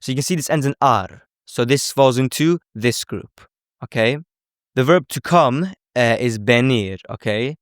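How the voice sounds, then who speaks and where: A man's voice: medium pitch, measured pace, no echo, clean studio sound. A man giving an informal language lesson in a conversational way, often checking in with short tag questions. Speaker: language teacher.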